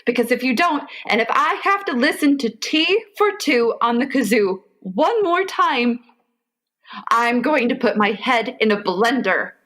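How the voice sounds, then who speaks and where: A woman playing a high-voiced, exasperated mother. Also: very animated, a little slow, a bit bright. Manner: theatrical, exasperated. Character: exasperated, dramatic mother and wife, soothing yet bossy. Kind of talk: comic radio play acting.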